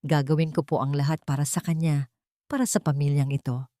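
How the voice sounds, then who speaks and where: A woman with a Filipino accent voicing a wronged young wife in a story, humble and halting, pleading with a breaking voice. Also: low-pitched, fairly even intonation, mellow, no echo, clean studio sound, natural voice. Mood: tearful pleading. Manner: halting, deferential.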